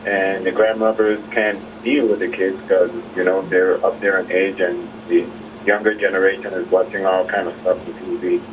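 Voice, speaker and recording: Halting, lowish-voiced man over clear noise. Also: level tone, muffled recording. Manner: halting, hesitant, frequent pauses. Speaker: caller to a talk show. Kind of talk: unscripted call-in opinion. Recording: distant call-in voice, clear background noise.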